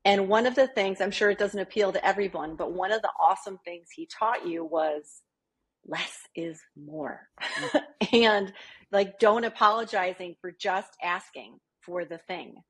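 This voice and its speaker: A woman's voice: mid-range voice, a little slow, fairly bright tone, husky. A woman, a small business coach, speaking as a guest on a podcast interview in a chatty way that keeps checking in with her listeners. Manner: chatty, keeps asking "right?".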